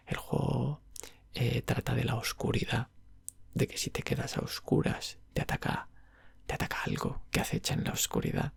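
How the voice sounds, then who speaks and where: A man with an accent from Spain chatting softly and unhurriedly in ASMR style, breathy, creaky and half-whispered. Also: mid-range voice, dry recording. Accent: Spanish (Spain). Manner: unhurried, rambling. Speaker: ASMR roleplayer as video game shop clerk. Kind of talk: ASMR roleplay, soft casual chat about games.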